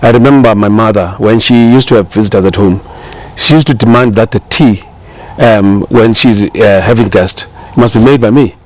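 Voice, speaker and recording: A man's voice: on the low side, normal speed, rough recording. A middle-aged man with a South African accent, a chef talking casually as an interview guest, rambling with many fillers and restarts.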